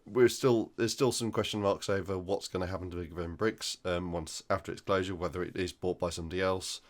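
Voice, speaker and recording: Croaky, deep-voiced man, recovering from flu. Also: fairly even intonation, fairly quick. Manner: hesitant, many ums and you-knows. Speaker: hobby channel host. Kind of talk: casual news roundup.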